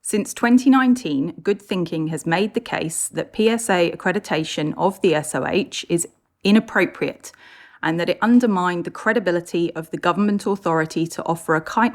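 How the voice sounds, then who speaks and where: An Australian woman reading a scripted news report in a measured, formal way. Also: fairly low pitch. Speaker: guest news reader.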